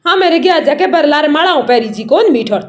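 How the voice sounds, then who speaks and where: A woman with an extremely high-pitched voice and a Rajasthani accent argues over a phone call. She is defiant and keeps retorting to the other side. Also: expressive, a little fast, echoey room. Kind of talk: argument on a recorded phone call.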